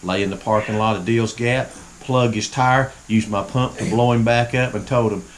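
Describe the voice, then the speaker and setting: A man's voice: mid-range voice, fairly even intonation, normal speed. Man telling a folksy story. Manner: folksy, acts out quotes. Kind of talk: casual storytelling. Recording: crowd noise behind.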